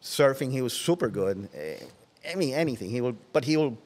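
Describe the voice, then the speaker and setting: An older man from Puerto Rico, speaking English with a Puerto Rican Spanish accent, tells stories as an interview guest in a relaxed way, often trailing off into soft asides. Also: medium pitch, fairly quick, in a large hall. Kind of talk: casual interview. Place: large watersports shop, indoors. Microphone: headset.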